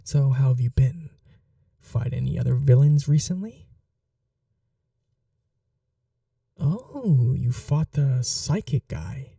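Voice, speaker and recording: Chatty, vain male supervillain, played by a man, smitten and casually menacing, unhurried and gravelly, ASMR roleplay. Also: mid-range voice, mellow, dry recording, studio quality, far from the mic. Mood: attentive, indignant. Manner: flirtatious, casually menacing, musing aloud. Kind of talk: ASMR roleplay monologue to the listener.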